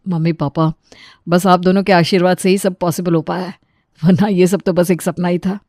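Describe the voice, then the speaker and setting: A woman voicing a polite, practical husband and son in an animated family story, plain-spoken and placating. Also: lowish voice, moderate pace, dry recording, clean studio sound, close to an everyday voice. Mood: respectful.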